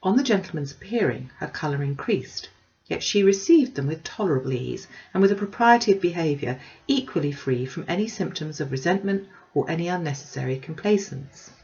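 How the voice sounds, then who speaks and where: Low-voiced woman reading a classic novel. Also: expressive, a little fast, a little mellow, muffled recording. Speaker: audiobook reader. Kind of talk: scripted narration of a classic novel.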